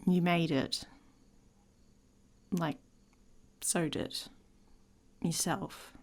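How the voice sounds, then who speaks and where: Woman playing a husky, whispery, taunting she-wolf. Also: fairly low pitch, steady tone, extremely slow, darkish tone, heavily acted. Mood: teasing, seductive. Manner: teasing, sardonic, often dropping into whispers. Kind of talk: intimate ASMR roleplay.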